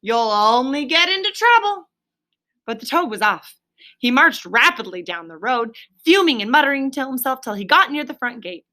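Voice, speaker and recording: Bright-voiced woman reading a story aloud. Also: fairly high pitch, fairly quick. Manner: expressive, explanatory. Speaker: reader, storyteller. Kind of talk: reading a children's story aloud, with asides to a listener.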